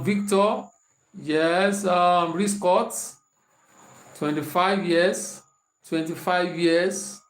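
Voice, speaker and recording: A man's voice: high voice, far from the mic. A man with a Nigerian accent teaching a maths lesson online, repeating questions and reading out students' answers. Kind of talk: online maths lesson, working through examples. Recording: echoey room, voice off-mic, faint hiss.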